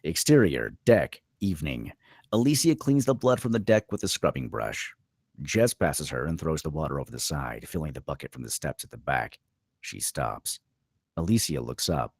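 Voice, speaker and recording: A man's voice: a bass voice, sing-song, moderate pace, creaky, a little mellow, breathy edge, no room sound, studio quality. A man narrating screenplay stage directions at a table read, reading scripted scene descriptions.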